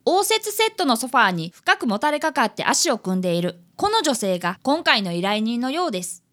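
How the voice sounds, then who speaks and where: Schoolboy detective apprentice played by a woman: polite, eager, high and lively, radio comedy narrator. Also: a little fast. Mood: earnest. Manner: polite, earnest. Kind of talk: first-person narration in comedic radio drama.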